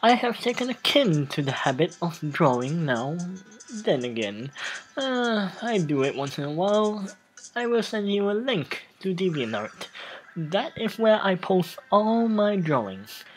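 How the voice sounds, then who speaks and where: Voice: medium pitch, big pitch swings, poor audio. A let's play host of unclear sex narrating a game casually and reading its text aloud, often stumbling and restarting. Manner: halting, stumbles over read text and restarts. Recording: music playing behind voice. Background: background music.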